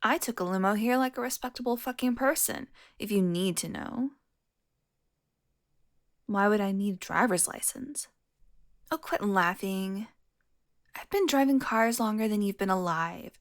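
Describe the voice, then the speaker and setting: A woman's voice: medium pitch, natural voice. A woman playing a teasing, flirtatious, sardonic and foul-mouthed vampire in an audio roleplay, speaking straight to the listener. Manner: sardonic, teasing, breathy asides.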